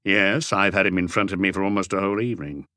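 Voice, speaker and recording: A man voicing a calm, terse police inspector who questions in a measured, probing way for an audiobook. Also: sits low, dry recording, clean studio sound.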